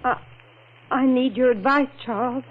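A woman's voice: sits high, moderate pace, phone-quality sound, close to an everyday voice. An actress playing an earnest, hesitant woman in love, speaking confidingly in a 1940s radio melodrama. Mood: anxious, confiding. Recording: thin, noisy old radio recording.

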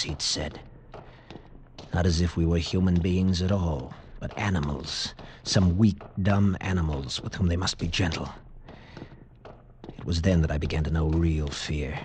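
A faintly noisy vintage radio recording of a tough military lieutenant. His low voice is earnest and dramatic, in the manner of a 1940s adventure serial. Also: steady tone, a little slow, a little mellow. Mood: fearful, haunted. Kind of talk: 1940s radio drama acting and first-person narration.

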